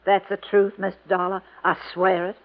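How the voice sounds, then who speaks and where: A woman's voice: mid-range voice, little pitch movement, normal speed, phone-quality sound, natural voice. An actress playing an earnest, protective female servant, sincere and pleading, in a 1950s radio drama. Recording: band-limited old 1950s radio recording.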